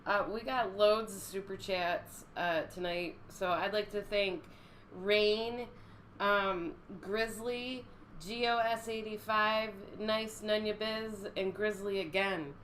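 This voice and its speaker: A woman's voice: medium pitch, fairly even intonation, slow, a bit bright, slight background noise. A woman co-hosting a live stream while she has a cold, chatting in a friendly way and thanking viewers. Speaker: co-host. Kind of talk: casual chat thanking viewers.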